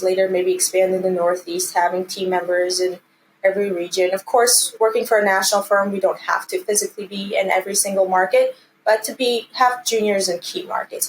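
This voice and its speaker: Earnest woman in a noisy interview recording. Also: mid-range voice. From Armenia. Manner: earnest, encouraging. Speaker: interview guest, commercial real estate team lead. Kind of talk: conversational interview answers.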